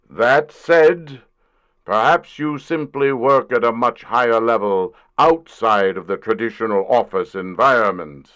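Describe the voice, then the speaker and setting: A man in an audio drama playing a gruff, condescending corporate boss, pompous and grudging in his delivery. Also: mid-range voice, slow pace, a bit bright, lo-fi sound.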